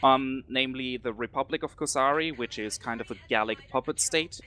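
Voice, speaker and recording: A man from Germany with a German accent, answering interview questions hesitantly with many pauses and ums. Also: medium pitch, bright, forceful tone. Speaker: guest, faction leader.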